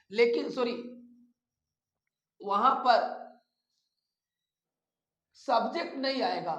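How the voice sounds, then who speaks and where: North indian grammar teacher, takes it real slow, repeats stuff and quizzes students, roomy echo. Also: medium pitch, fairly bright tone. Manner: instructive, repeats phrases and poses questions to students. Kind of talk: explanatory grammar lesson. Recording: roomy, echoey sound.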